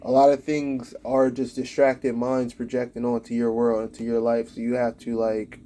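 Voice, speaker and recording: A man's voice: medium pitch, monotone, moderate pace, mellow, slight background noise. A man in his late twenties giving casual spiritual advice in a rambling monologue, full of "like" fillers. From United States. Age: late 20s.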